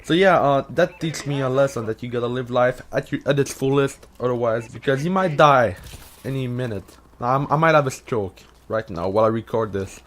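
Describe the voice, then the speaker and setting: A man's voice: mid-range voice, normal speed, a bit bright, a little hiss or hum. A young man with a Quebec French accent, partly Americanized, rambling in a casual vlog full of swearing, "uh" fillers and restarts. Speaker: YouTuber answering Reddit questions.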